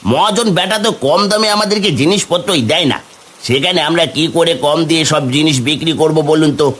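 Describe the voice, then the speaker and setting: A man voicing a defiant village market vendor in a cartoon, arguing forcefully. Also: on the high side, steady tone, brisk. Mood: pleading. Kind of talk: cartoon character dialogue.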